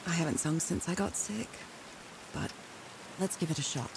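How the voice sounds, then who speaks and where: An actress playing an ailing, determined woman explorer of the 1930s in a video game, musing to herself in halting questions. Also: on the low side, animated, creaky, background noise. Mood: wondering. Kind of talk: dramatic video game monologue, thinking aloud.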